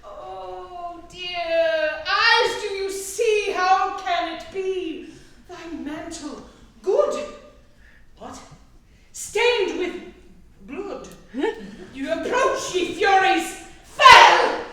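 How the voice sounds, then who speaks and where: A woman playing a melodramatic male tragic lover in an amateur staged Shakespeare reading, declaiming in a drawn-out, overblown way. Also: very high voice, bright, forceful tone, exaggerated voice. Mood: horrified grief. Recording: echoey room from far off, faint noise.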